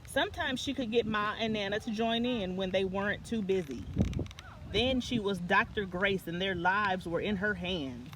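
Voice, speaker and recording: Over clear background noise, a woman reads a children's picture book aloud. Her voice sits at a medium pitch. She reads as a story time narrator and sometimes trips on a word and corrects it. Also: normal speed. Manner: stumbles and self-corrects while reading.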